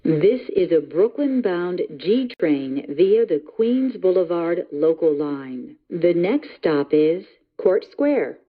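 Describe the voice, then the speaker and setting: A woman reading recorded subway announcements in a measured, formal manner. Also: fairly low pitch, animated, no echo. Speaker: transit announcer. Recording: dull, lo-fi announcement audio.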